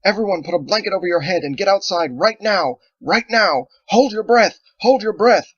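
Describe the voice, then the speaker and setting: Gravelly terse soldier lady, stiff formal talk, dry dull audiobook sound, a guy doing her. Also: fairly low pitch, steady tone, quick pace, fairly bright tone, dry recording. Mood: shouting. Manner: formal, clipped speech. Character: stern female soldier, terse and commanding.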